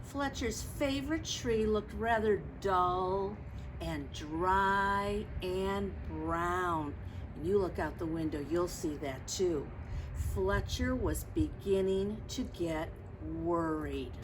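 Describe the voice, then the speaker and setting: A woman reading a picture book aloud for young children, expressive and explanatory, talking directly to her listeners. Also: on the low side, takes it slowly, noisy background. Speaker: storytime reader.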